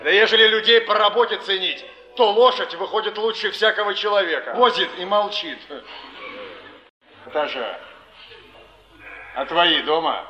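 A cynical, scornful labourer in a stage play, high and forceful in voice. He is blunt and mocking. The old recording is dull and echoey. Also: moderate pace, pauses often, faint music behind. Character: gruff, cynical working man, scornful of pride. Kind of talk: theatrical stage drama.

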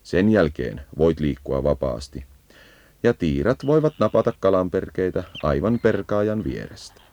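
A man's voice: deep voice, expressive, mellow, dull audio. A man narrating a scripted educational birdsong guide, leading the listener as if on a field walk.

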